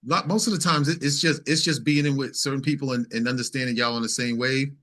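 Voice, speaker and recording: A man's voice: medium pitch, fairly even intonation, quick pace, fairly bright tone. A man speaking as a musician guest in casual conversation, explaining things and checking for agreement.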